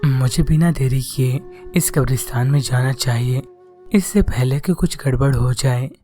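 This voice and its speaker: Cartoon father, caring and steady, voiced by a man, warm and dark-toned. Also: medium pitch, measured pace, faint music behind, close to an everyday voice. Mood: concerned. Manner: reassuring.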